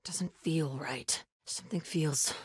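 A woman's voice: low voice, takes it slowly, husky, dry recording, studio quality, heavily acted. An actress playing a fierce, devout female cult soldier in a video game, calling out short clipped combat lines. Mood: tense, searching. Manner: short clipped callouts, from hushed to shouted. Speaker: video game enemy character.

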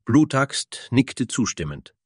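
A man's voice: medium pitch, big pitch swings, slow, slightly rough, no echo, studio quality. A man reading scripted narration for a fantasy story as its narrator.

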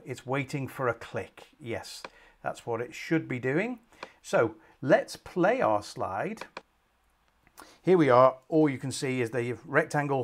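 Middle-aged man explaining software steps calmly. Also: medium pitch. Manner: calm step-by-step explaining with frequent ums. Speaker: software tutorial presenter. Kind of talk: screen-recorded software tutorial.

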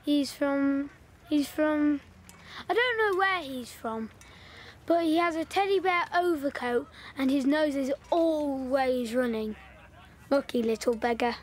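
High-pitched, chatty Northern English child. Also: female voice, slow, a little hiss or hum. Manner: chatty, colloquial, matter-of-fact. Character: chatty, cheeky wartime English country child. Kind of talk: audio drama dialogue.

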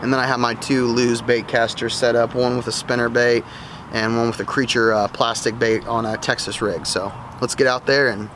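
A man's voice: mid-range voice, flat delivery, normal speed. A man in his thirties hosting a fishing channel, talking casually and enthusiastically to the camera outdoors by a park pond, with plenty of fillers and restarts. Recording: outdoor camera audio with clear background noise.

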